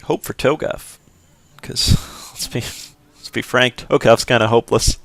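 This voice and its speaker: Joking, gravelly-voiced young man. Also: mid-range voice, a little slow, dry recording. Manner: joking, offhand, excitable. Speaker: let's play host. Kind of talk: casual gameplay commentary.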